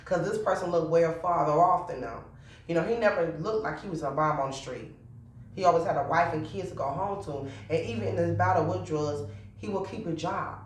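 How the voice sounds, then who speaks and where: A woman's voice: on the low side, a little fast, a little hiss or hum. Reflective woman in a very echoey room. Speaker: interviewee. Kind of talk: personal testimony. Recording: very echoey room, mic at a distance.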